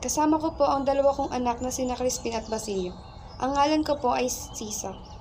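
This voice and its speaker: A woman's voice: sits high, fairly quick, darkish tone, a little hiss or hum. A woman playing a humble, meek but steadfast mother in a radio play, speaking deferentially and pleadingly. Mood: polite, earnest. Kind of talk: radio play acting in Filipino.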